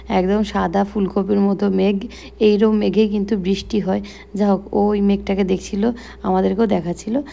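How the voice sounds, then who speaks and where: A woman's voice: medium pitch, vocal fry, mellow. A young woman with a West Bengali accent narrating a casual daily vlog in a chatty, explanatory way. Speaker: vlogger.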